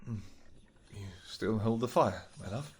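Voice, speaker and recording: A man playing a tender, protective vampire king in a roleplay audio drama, speaking formally and earnestly, with halting phrases. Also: on the low side, a little mellow, slightly rough. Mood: gentle, puzzled.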